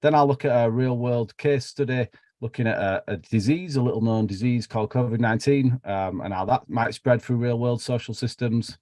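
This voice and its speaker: A man's voice: mid-range voice, normal speed, mellow, no room sound, clean studio sound. A man with a broad Yorkshire accent giving an academic seminar talk over a video call, chatty and self-deprecating with many fillers. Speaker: seminar speaker.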